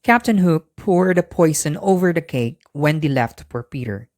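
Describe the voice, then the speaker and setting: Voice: mid-range voice, expressive, normal speed, darkish tone, dry recording, studio quality. A storyteller narrating a scripted bedtime story for children.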